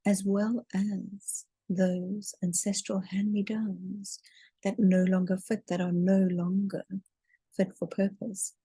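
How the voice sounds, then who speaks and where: A woman leading a guided meditation in a soothing, deliberate way, drawing out her words as she narrates. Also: on the low side, dark tone, husky, dry recording. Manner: soothing, deliberate, with long drawn-out counting.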